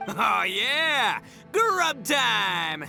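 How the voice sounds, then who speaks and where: An actor voicing a brash, goofy, big-hearted gang boss in an animated video game, slangy and boastful. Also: female voice, a little high, very slow, gravelly, faint music behind, exaggerated voice. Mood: hungry, eager.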